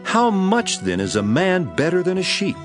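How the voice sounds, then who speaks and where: A man voicing Jesus in a dramatized Bible reading, solemn and measured with bursts of intensity. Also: sits high, huge pitch swings. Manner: solemn measured delivery, rising to intense exclamations. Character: Jesus, calm grown man teacher, solemn and gentle. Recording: studio voice with music beneath.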